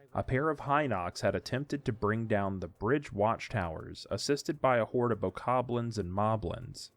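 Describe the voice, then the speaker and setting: A man reading scripted story narration for an audio drama. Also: fairly low pitch.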